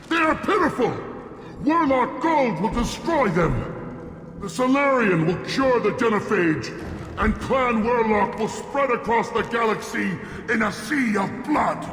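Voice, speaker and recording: Game villain: bloodthirsty alien clan spokesman. High register for a man, grandiose threats, long reverb, faint music. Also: a bit bright. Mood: furious, defiant. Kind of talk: video game villain acting.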